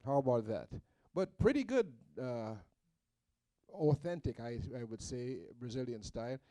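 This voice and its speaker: A man with a Trinidadian accent, a musician chatting casually in a halting, conversational way. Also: medium pitch, lively intonation, dark tone, no echo. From Trinidad and Tobago. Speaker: musician, guest. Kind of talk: casual chat, introducing music.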